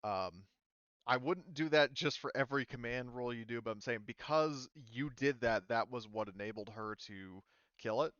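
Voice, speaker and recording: A man's voice: medium pitch, normal speed, no echo, lo-fi sound. A man running a tabletop roleplaying game as game master, talking casually and hesitantly with many ums. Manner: relaxed, many ums and restarts.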